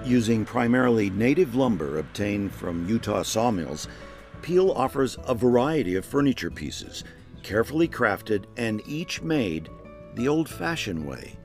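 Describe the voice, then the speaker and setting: A man's voice: medium pitch, big pitch swings, unhurried. A man reading scripted narration for a heritage travel program. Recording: music under the voice. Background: background music.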